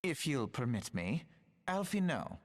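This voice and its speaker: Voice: mid-range voice, sing-song, measured pace, in a large hall. An actor playing a polite, self-assured, scholarly teenage boy who speaks in a courteous, formal way in a video game. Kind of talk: scripted game dialogue, formal introduction.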